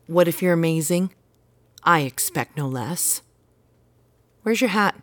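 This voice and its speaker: A woman's voice: lowish voice, lively intonation, a little slow, no room sound. An actress playing an earnest, exacting mother devoted to tradition in an audio drama, with an insistent, instructive manner. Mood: exasperated. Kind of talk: naturalistic audio drama dialogue.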